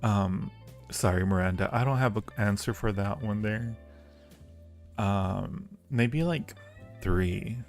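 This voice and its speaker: A man's voice: lowish voice, slow, gravelly, darkish tone, faint music behind. A man hosting a live-stream Q&A, answering viewer questions casually with a playful, teasing and flirty manner. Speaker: audio roleplay creator hosting a live Q&A.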